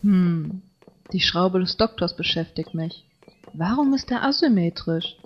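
A woman's voice: lowish voice, animated, measured pace, dull audio. A woman playing a fussy, earnest, obsessive teenage boy in an anime audio drama, with a solemn, finicky manner. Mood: pensive.

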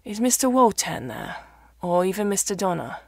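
Woman as polite young vet, heavy vocal fry. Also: mid-range voice, big pitch swings, moderate pace, mellow, dry recording, clean studio sound. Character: courteous, anxious young male country vet.

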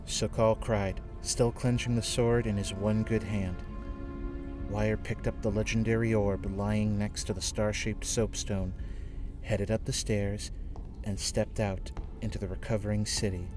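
A man with a fairly low voice narrates in a solemn, dramatic storytelling manner. Atmospheric music plays behind his scripted narration. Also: level tone, a little slow. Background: dark atmospheric game music.

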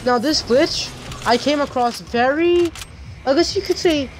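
A gaming channel host with a raspy voice and a sore throat, talking through a gameplay tutorial in a rambling, chatty way. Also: high-pitched, measured pace, slight background noise.

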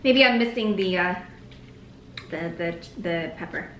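A young woman from the United States chats over a meal in an echoey room, her voice bright and forward. She laughs easily and slows right down when she models a phrase to be repeated. A faint hiss sits under the room sound. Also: fairly low pitch. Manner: laughs often, teaches slow careful phrases. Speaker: co-host of a food vlog. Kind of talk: casual chat. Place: bright dining room indoors, at a table.

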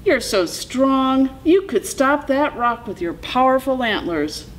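A woman's voice: high-pitched, animated, slow pace, voice pushed up, poor audio. A woman voicing a frantic, whiny, stubborn male coyote trickster in a children's story, pleading and yelping.

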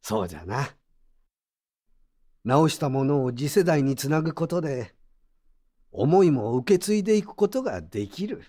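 An actor playing a gruff but kindly old craftsman in an audio drama, with rustic, plain-spoken elderly speech. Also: on the high side, very animated, normal speed, pauses often, mellow. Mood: calm, kindly. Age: older. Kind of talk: Japanese audio drama acting.

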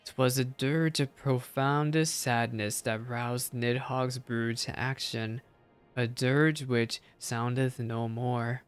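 A wise dragon from a fantasy game, voiced by a man, speaks with solemn gravity. The delivery is formal and measured, like an old recital, with music playing behind it. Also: mid-range voice, fairly even intonation, clear, resonant tone, lightly acted. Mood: solemn reverence.

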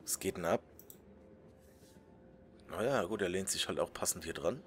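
Low-voiced joking gaming commentator. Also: male voice, moderate pace, fairly bright tone, slight background noise. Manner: joking, muttering asides, whispers while reading. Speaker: let's play host. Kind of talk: casual gameplay commentary.

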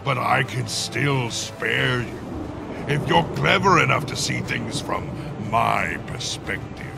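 An actor playing a menacing, arrogant monstrous villain in a video game, gravelly and condescending. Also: male voice, medium pitch, slow pace, music in the background. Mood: grandiose, scornful.

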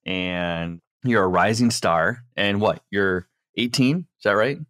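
A man hosting a relaxed podcast interview, talking in a very low voice. He chats loosely, rambles and often restarts his sentences. The sound is dry and close. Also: slow pace, dry recording. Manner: chatty, rambling, with repeated restarts. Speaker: podcast host. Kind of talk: casual interview.

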